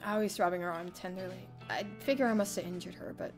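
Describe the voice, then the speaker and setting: A woman's voice: fairly low pitch, fairly even intonation, quick pace. A young adult woman streamer reading a visual novel aloud as dramatic first-person narration. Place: indoor streaming room. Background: faint game music.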